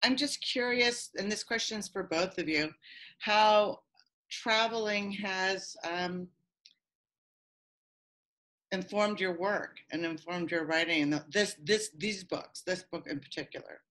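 A woman's voice: mid-range voice, slow, a bit bright, low-quality recording. A woman asking the guests a question in a hesitant, curious way on a video call.

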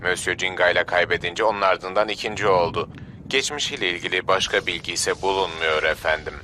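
A man's voice: low voice, a little fast, sharp tone, a little hiss or hum. A man playing a formal, obedient assistant to a villain in a dubbed anime, reporting deferentially. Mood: dutiful.

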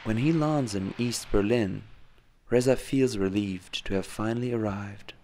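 A man narrating a scripted documentary story in a measured, deliberate manner. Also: on the low side, mellow.

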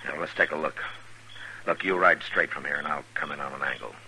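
A stern Old West marshal in a vintage radio western. His American voice is very deep, and he speaks with measured authority. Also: background noise. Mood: stern, calm. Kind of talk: 1950s radio western acting. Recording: vintage 1950s radio broadcast.

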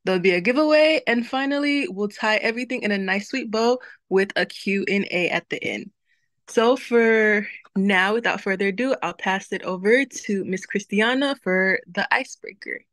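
A woman's voice: medium pitch, moderate pace, a bit bright, dry recording. A young woman hosting an online webinar on a video call, opening with a prayer and then greeting the audience and reading out chat messages with frequent laughs. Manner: upbeat, laughs often, talks to the chat. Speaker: webinar host. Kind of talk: prayer and warm webinar welcome.

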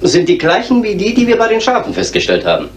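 An actor playing an earnest village police sergeant, matter-of-fact and deferential, in a German radio crime drama. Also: male voice, high voice, huge pitch swings, fast, noisy background. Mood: earnest, puzzled.

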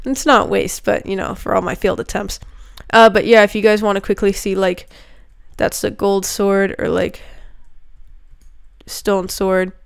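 A woman's voice: medium pitch, fairly even intonation, unhurried, vocal fry, clean tone. A young woman with a cold chatting casually over her game, rambling with lots of fillers. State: sick with a cold, voice a bit rough. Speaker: gaming youtuber. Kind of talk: casual gameplay commentary.

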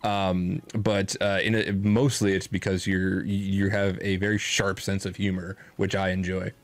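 A man in his thirties hosting a casual streamed chat, excitable, swearing freely and laughing often. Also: low-pitched, a little slow. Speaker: podcast host.

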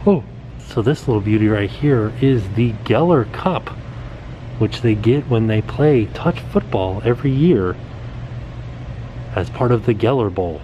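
A man in his forties excitedly pointing things out as he walks through a small indoor set, filmed on a handheld camera at arm's length. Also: on the low side, a little slow, lo-fi sound, slight background noise. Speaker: vlogger. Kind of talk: casual walkthrough vlog. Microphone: camera held at arm's length.

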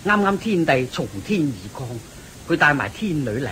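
An actor playing an earnest, naive monk bodhisattva in an admonishing manner in a radio drama. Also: male voice, on the high side, sing-song, normal speed, a loud crowd, rough recording. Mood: earnest, calm.

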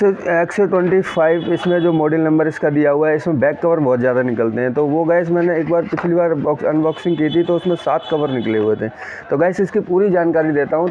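A man hosting a casual, unscripted unboxing video, talking directly to viewers and often repeating himself as he explains the product. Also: a little high. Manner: repetitive, addresses viewers directly. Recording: dull lo-fi audio, clear ambient noise.

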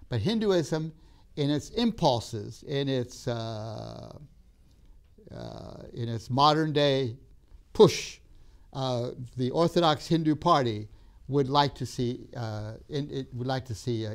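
An older man who grew up in Tanzania, giving a measured classroom lecture with emphatic pauses, recorded on a lapel mic in a studio classroom. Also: medium pitch. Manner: measured, emphatic pauses, gestures while talking.

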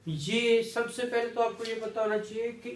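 A man's voice: very high voice, steady tone, normal speed. Pakistani guy teaching a law class, keeps quizzing and repeating, drops english terms, roomy echo. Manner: didactic, repeats key terms, checks understanding often; mixes in English legal terms. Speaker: law lecturer. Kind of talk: classroom lecture with questions to students. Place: small indoor teaching room with whiteboard. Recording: echoey room, mic at a distance.

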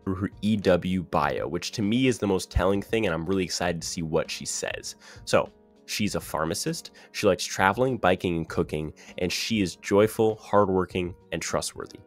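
A man's voice: sits low, faint music behind. A man giving opinionated, ranting commentary on a reality show contestant in a casual monologue. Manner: opinionated, ranting, quick with restarts.

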